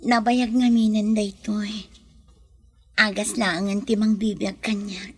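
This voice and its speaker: Woman acting breathy, gentle sickly city lady. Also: mid-range voice, flat delivery, a little slow. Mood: reflective. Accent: Ilocano. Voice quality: breathy, husky. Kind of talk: radio comedy drama acting.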